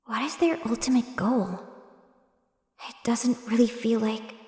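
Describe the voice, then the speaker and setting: A woman's voice: a little high, measured pace, warm tone, close to an everyday voice. A woman voicing a guarded, prickly young girl in an anime fan dub, stubborn, earnest and defensive, with long reverb on her voice. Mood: puzzled, stubborn.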